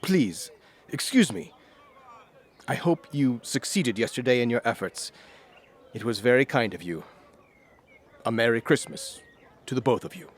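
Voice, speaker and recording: An actor playing a reformed old miser in an audio drama, effusive and courtly, generous and humble. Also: male voice, medium pitch, lively intonation, a little slow, pauses often. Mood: humble, earnest. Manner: exclamatory, effusive, old-fashioned courtly speech.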